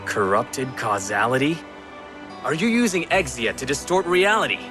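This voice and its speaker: An actor voicing a stern, sharp-minded video game hero, serious and suspicious, with a clipped, commanding manner. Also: male voice, mid-range voice, sing-song, moderate pace, close to an everyday voice. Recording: music under the voice.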